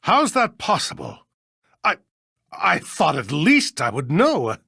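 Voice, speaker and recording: A weary, authoritative judge from a video game. The delivery is formal and deliberate, with lively pitch movement. The recording is close and dry. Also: female voice, creaky, slightly rough, dry recording, heavily acted. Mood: reluctant, troubled. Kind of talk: scripted video game voice acting.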